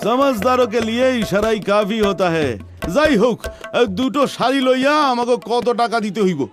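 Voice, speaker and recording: A man's voice: very high-pitched, moderate pace. A man voicing a sarcastic, stingy young son-in-law in a comic cartoon, with an eastern Bengali accent. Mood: wry. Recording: music behind the voice.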